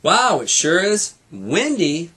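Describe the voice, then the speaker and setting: A man voicing a curious, excitable young boy in a children's storybook. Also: high voice, slow pace, husky, pitched above the performer's own voice, exaggerated voice. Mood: amazed.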